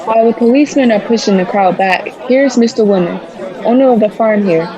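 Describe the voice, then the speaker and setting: A woman's voice: on the high side, fairly quick, close to an everyday voice, low-quality recording. A woman playing a radio news reporter in a radio drama, giving dramatic, formal live commentary from the scene. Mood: urgent, observant. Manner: dramatic, formal on-the-spot describing. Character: excited radio field reporter, a woman, describing a mysterious landing. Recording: busy crowd noise around the voice. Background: crowd in a public space.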